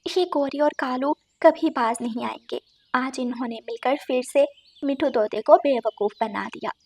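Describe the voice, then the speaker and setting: Woman as a high-voiced, sensible cartoon sparrow. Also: normal speed, vocal fry, a little mellow, husky, exaggerated voice. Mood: disapproving. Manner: reproachful, sensible. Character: wise, protective, scolding female sparrow. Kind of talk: Hindi children's cartoon dialogue.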